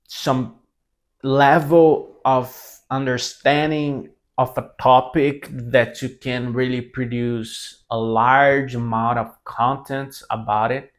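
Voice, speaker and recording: A young man with a Brazilian Portuguese accent speaks to the camera in easy, unscripted talk. His English is not his first language, so he pauses and restarts often. His voice sits at an ordinary middle pitch. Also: level tone. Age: 20s. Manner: halting, many restarts and self-corrections. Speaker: language mentor.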